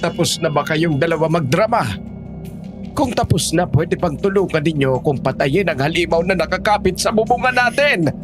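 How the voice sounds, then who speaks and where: A man playing a blunt, excitable member of a household in peril in a horror audio drama. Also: a little high, normal speed, close to an everyday voice. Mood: exasperated. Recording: music behind the voice.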